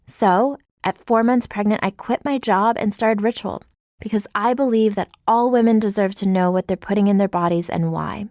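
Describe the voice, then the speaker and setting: A woman's voice: medium pitch, normal speed, darkish tone, no echo, studio quality. A woman reading a scripted advertisement, earnest and polished. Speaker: company founder in an ad. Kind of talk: scripted ad read.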